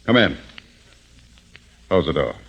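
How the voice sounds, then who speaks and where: An actor playing a hard, ruthless, blunt gang boss barking orders in a 1950s radio drama. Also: male voice, very deep voice, big pitch swings, slow pace, gravelly, background noise, full character voice. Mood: cold, commanding. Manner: blunt, commanding. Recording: dull 1950s radio recording.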